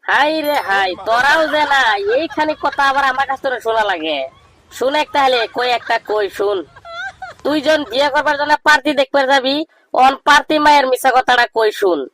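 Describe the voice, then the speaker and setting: A man voicing a cheeky, boastful young village know-it-all in a comedy cartoon, with a rural Bangladeshi accent and a pitched-up voice. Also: fairly quick, sharp tone, poor audio. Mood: mocking, emphatic. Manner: bragging, teasing, declamatory.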